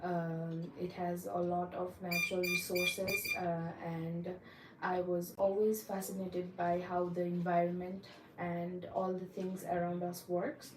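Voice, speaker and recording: A woman's voice: lowish voice, flat delivery, unhurried, echoey room, noisy background. Hesitant young woman, distant. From Nepal. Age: young adult. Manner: hesitant, polite, many fillers. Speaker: visa applicant in a mock interview. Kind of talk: practice visa interview answers.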